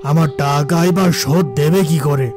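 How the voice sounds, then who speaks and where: A man voicing high, scornful, stingy village moneylender. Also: normal speed, natural voice. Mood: dismissive. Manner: curt, scornful, domineering. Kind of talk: cartoon folk-tale acting. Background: music.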